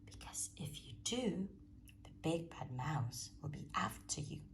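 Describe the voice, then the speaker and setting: Brief: woman voicing a large father monster, whispery and low, spooky bedtime telling. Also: sing-song, a little slow, exaggerated voice. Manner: hushed, spooky storytelling. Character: big father monster, the Gruffalo.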